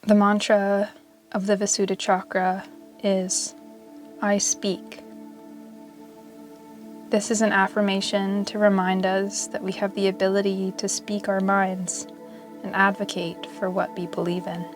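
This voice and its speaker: A woman leading a guided yoga meditation in a soothing, measured way with long pauses. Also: medium pitch, completely flat delivery. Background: meditation music.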